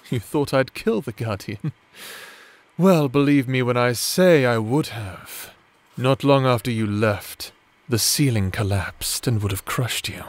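A man playing a doting, villainous necromancer husband in a romantic ASMR audio drama, speaking in a theatrical, formal and tender manner. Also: on the low side.

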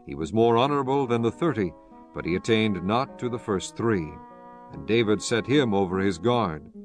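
A man with a fairly low voice narrates scripture. His delivery is formal and measured, and faint music plays behind him.

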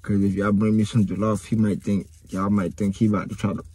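A man's voice: lowish voice, level tone, fast talker, warm tone, husky, no echo. Hyped American man hosting reactions. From United States. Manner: hyped, repetitive, slangy, talks straight to viewers. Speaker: reaction video host. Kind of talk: casual reaction commentary.

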